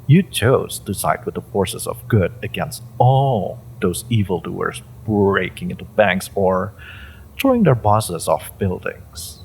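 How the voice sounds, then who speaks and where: A man playing a suspicious, coldly patient agency interrogator in a dramatic audio roleplay, speaking deliberately and sardonically with pointed pauses. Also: sits low, expressive, vocal fry, darkish tone, a little hiss or hum, full character voice, low-quality recording. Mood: sardonic, accusing.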